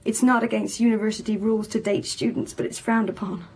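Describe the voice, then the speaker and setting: An actress playing a grieving young female student in a radio drama, earnest, hurt and guarded, with a breathy voice. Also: a little high, fairly even intonation, quick pace, darkish tone, rough recording. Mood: hurt, wistful. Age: young adult. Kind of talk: naturalistic radio drama acting.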